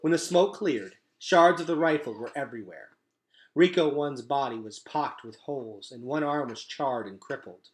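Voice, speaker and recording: A man narrating a children's storybook aloud in a dramatic, emphatic way, dropping to a hush at times. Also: mid-range voice, lively intonation, normal speed. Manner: dramatic, emphatic delivery with hushed drops.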